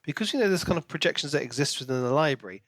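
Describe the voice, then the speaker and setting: A man's voice: fairly high pitch, expressive, very fast, a bit bright, no echo. A man co-hosting a podcast in casual book discussion, acting out reactions as he retells. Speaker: podcast co-host.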